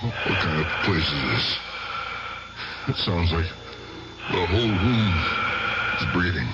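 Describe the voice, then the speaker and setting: An actor playing an older gangster uncle, guilty and haunted, in an agitated, pleading style of old-time radio drama. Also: male voice, low voice, expressive, slow, highs cut off, heavy background noise. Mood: dazed, fearful.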